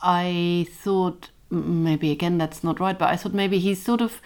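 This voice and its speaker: A woman with a low, smooth voice chats in a relaxed, hesitant way. She restarts her sentences while hunting for the right word. Her tone stays calm and thoughtful. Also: unhurried. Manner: hesitant, searching for words. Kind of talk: casual conversation.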